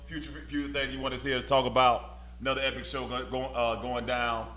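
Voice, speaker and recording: A man's voice: medium pitch, flat delivery, brisk, bright, forceful tone, reverberant, a little hiss or hum. A man hosting a casual podcast, easygoing and fond of repeating phrases, closing the show. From Tampa, Florida. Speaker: podcast host.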